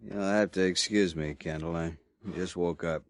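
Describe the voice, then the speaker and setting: An actor playing a blunt, wary frontier newspaperman in a 1950s radio drama, with a gruff casual drawl. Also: low-pitched, fairly even intonation, normal speed, heavy background noise.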